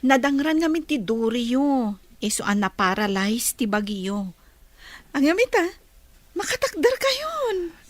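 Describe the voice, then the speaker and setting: An actress playing a chatty, cheerful woman visitor in a radio drama, with exclamatory, drawn-out reactions. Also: high-pitched, big pitch swings, no room sound, full character voice. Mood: teasing, pleased.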